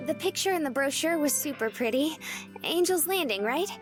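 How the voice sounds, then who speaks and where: An actress playing a sweet, girlish young woman in a visual novel, speaking in an innocent, soft-edged way. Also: sits high, animated, normal speed. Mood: gentle, tentative. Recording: game audio with music behind.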